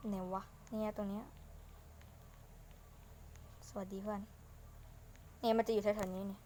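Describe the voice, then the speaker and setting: A woman hosting a gaming video, giving informal gameplay commentary and thinking aloud as she goes. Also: mid-range voice. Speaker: gaming channel host. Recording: clearly noisy home recording.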